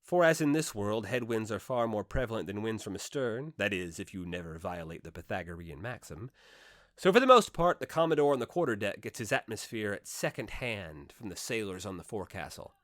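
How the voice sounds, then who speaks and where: Low-voiced man narrating a classic novel, dry sound. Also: big pitch swings, brisk, no room sound. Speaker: audiobook narrator. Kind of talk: scripted literary narration, first-person classic novel.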